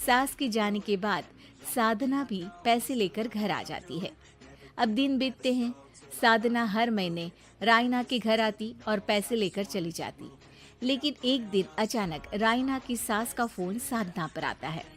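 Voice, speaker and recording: A woman's voice: fairly high pitch, expressive, a little slow, soft background music. A woman reading scripted narration as the storyteller.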